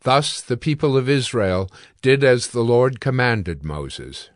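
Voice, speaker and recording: A man narrating scripture in a slow, deliberate manner. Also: mid-range voice, sing-song, dry recording, clean studio sound. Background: faint music.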